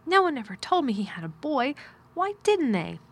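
Woman playing a curious young girl, raised pitch. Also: animated, moderate pace. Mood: amazed, frank. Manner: direct, questioning. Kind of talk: audiobook character dialogue.

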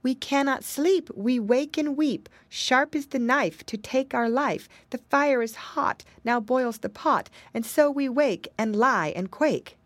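Woman doing a tiny scared duckling boy, high shaky singsong rhyme. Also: takes it slowly, few pauses, dry recording. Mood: frightened. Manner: high, trembling chanted rhyme. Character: frightened little drake, young and brave.

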